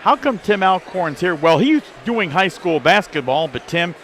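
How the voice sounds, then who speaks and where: A man with a fairly high voice hosts a halftime sports report. He moves between game recap and sponsor mentions in a broadcast style, with some gymnasium noise behind him. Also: big pitch swings, moderate pace, fairly bright tone. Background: basketball gym ambience.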